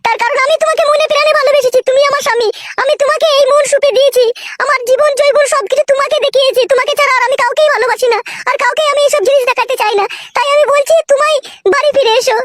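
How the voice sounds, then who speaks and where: A woman's voice: very high voice, fairly even intonation, very fast, no room sound, clean studio sound. A woman playing a devoted, pleading, long-suffering wife in a comedy cartoon, submissive and insistent.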